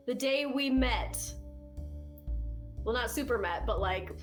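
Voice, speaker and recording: A woman player questioning a character in a deliberate, probing way. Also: a little high, animated, soft background music. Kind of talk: in-character interrogation at a game table.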